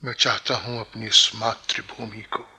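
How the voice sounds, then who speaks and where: A secret patriot disguised as a half-mad servant in a radio play. The voice is raspy and creaky with a hard, forceful edge. He speaks earnestly and secretively, often dropping toward a whisper. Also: on the low side, little pitch movement, normal speed, full character voice. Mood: impassioned, hushed. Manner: conspiratorial, earnest, dropping into breathy hush. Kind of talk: theatrical Hindi radio-play acting.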